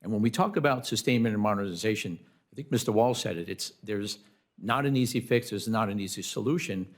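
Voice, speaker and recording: A man testifying as a witness at a hearing, explaining his points formally but unscripted, in a measured, courteous way. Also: fairly low pitch. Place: committee hearing room.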